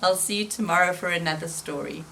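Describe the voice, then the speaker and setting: A woman reading a children's story aloud in expressive storybook narration, recorded slightly away from the microphone. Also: on the low side, fast, vocal fry, rough recording.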